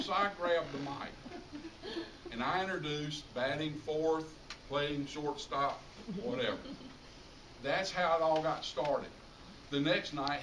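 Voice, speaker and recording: Higher-voiced American man, distant echoey recording. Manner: emphatic, repeats key figures for effect. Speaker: high school public address announcer giving a tribute speech. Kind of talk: informal tribute speech to an audience. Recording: roomy, distant mic, clearly noisy ambience.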